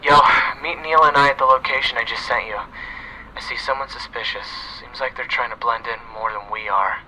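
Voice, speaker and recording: A man's voice: mid-range voice, fairly even intonation, moderate pace, pushed and bright, slight background noise, low-quality recording. A man voicing a firm male teammate who gives orders to the team. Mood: commanding. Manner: firm, commanding.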